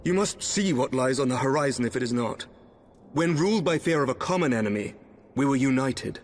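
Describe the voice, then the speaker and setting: A man's voice: mid-range voice, lively intonation, fairly quick, soft background music, lightly acted. A man playing a noble, determined knight commander in a video game, speaking with formal, solemn oratory. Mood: solemn, urgent. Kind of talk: dramatic video game acting.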